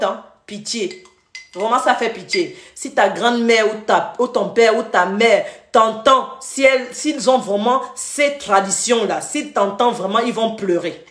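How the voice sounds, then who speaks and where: A woman from Gabon hosting a live stream, scolding viewers in a heated, repetitive rant. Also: on the high side. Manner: scolding, repetitive, switches to English briefly. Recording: echoey room.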